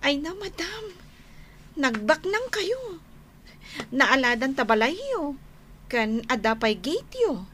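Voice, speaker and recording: Exasperated young wife, nagging and emotional, very high pitch, slow-ish delivery, radio drama. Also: female voice, sing-song, fairly bright tone, slight background noise, full character voice. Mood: friendly, curious. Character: exasperated young wife scolding her drinking husband. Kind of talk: Ilocano radio drama acting.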